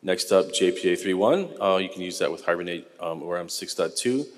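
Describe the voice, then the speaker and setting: A middle-aged American man giving a technical conference talk in a relaxed, conversational way with frequent ums. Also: low-pitched, brisk, in a large hall. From United States. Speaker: conference speaker. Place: large conference hall.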